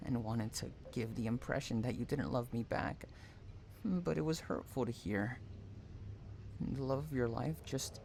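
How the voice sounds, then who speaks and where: A man's voice: medium pitch, creaky, background noise. A man acting an obsessive, unhinged stalker in an audio roleplay, cooing pet names and drawing out words between tenderness and anguish. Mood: wounded, obsessive. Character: obsessive, unhinged male stalker lover, sweetly possessive and menacing. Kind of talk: dramatic roleplay audio monologue.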